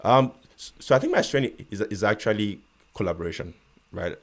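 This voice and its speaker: Very deep-voiced Nigerian man. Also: expressive. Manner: measured, with frequent restarts and stutters. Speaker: guest, real estate investor. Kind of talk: casual interview conversation.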